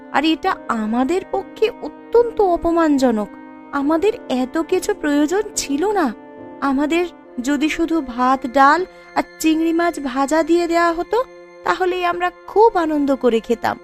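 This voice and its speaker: A woman voicing a proud, outspoken poor young wife in a moral-tale cartoon, with emphatic, dramatic delivery. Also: sits high.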